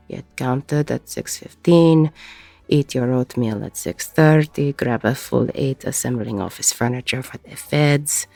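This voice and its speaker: Woman acting a gravelly, slow-talking female ex-con. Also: extremely low pitch, a little mellow, dry recording, exaggerated voice. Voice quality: husky, gravelly. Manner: slow, reflective, pausing mid-sentence. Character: weary, regretful female ex-convict bar owner, wry and protective. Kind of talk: intimate audio roleplay monologue.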